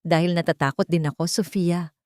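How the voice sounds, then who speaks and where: Lovestruck CEO played by a woman, heartfelt and pleading, lowish voice, romance audio drama. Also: steady tone, brisk, darkish tone, dry recording, clean studio sound, close to an everyday voice. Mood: fearful confession. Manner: earnest, emotional pleading.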